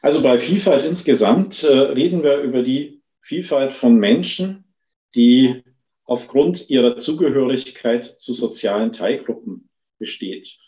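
A man gives an explanatory expert interview answer, measured and academic, listing points one after another. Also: mid-range voice, expressive, warm tone. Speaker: expert interviewee, institute director. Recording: dull, echoey room recording.

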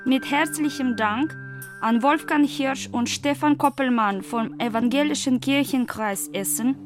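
A woman with a fairly high voice speaks very slowly in a radio play production. Clear music plays behind her voice. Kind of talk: retelling a story and reading credits in a radio play.

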